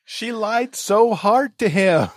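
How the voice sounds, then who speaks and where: A man's voice: sits high, animated, slow, dry recording. A man guesting on a podcast, reacting casually in a chat about a book.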